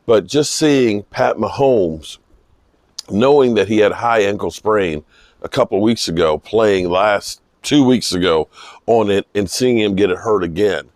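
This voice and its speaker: A man with a slightly hoarse voice giving unscripted, emphatic sports commentary, pausing mid-sentence for emphasis. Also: fairly low pitch, darkish tone, no room sound, studio quality. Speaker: football vlogger giving post-game reaction. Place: outdoors in misty rain.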